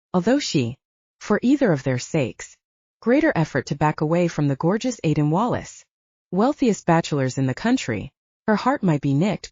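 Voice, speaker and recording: A woman's voice: lowish voice, darkish tone, slightly rough, dry recording, highs cut off. A woman narrating a scripted novel as an audiobook reader.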